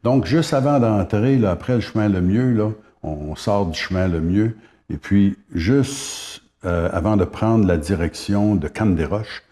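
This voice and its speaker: Deep, mellow older Québécois man. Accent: Québécois French. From Gaspé Peninsula, Quebec. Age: 70s. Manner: unhurried, reminiscing, many hesitations. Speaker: singer-songwriter guest. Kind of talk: casual interview chat.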